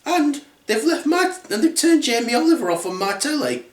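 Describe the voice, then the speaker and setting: Casting: storybook mother bear, nagging and put out, raised pitch played by a man, roomy sound. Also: very animated, moderate pace. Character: fussy, put-out Mummy Bear, adult female.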